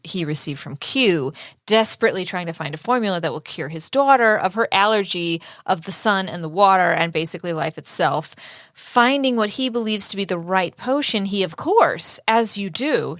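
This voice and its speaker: A woman's voice: medium pitch, fairly bright tone, no echo. A woman co-hosting a podcast, bantering casually and comedically about a TV show with playful, dry sarcasm. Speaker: podcast co-host.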